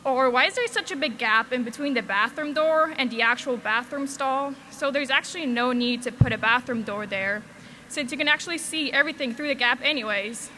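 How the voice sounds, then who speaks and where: A woman's voice: high voice, a little fast, pushed and bright, slight background noise. A young woman from Switzerland giving a valedictorian speech at a graduation, earnest with light humor, on a podium microphone. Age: young adult.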